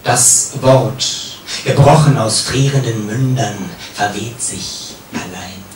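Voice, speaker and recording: A man with a mid-pitched voice recites poetry solemnly and very slowly, leaving pauses and letting line endings drop away. He is recorded live from some distance, with heavy room echo and clear background noise. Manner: solemn, measured, with pauses and falling phrase endings. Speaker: poet reading own work. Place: café, live reading.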